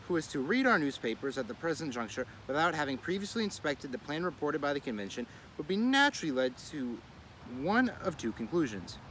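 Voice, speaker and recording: A man reads a historical essay aloud with a lively, rising-and-falling delivery, his voice sitting a little high for a man. He keeps a measured, oratorical pace, now and then tripping over a word and correcting himself. Clear traffic and street noise runs behind him outdoors. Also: poor audio. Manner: measured oratorical reading with occasional stumbles and self-corrections. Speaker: reader of a daily series.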